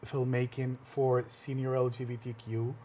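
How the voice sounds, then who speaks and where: A man whose first language is Spanish speaks in an earnest, conversational way. He pauses often and restarts his sentences. His voice sits at a middle pitch, and faint noise hangs behind it in the studio. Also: level tone, warm tone. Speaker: filmmaker and workshop teacher. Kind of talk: conversational interview answers.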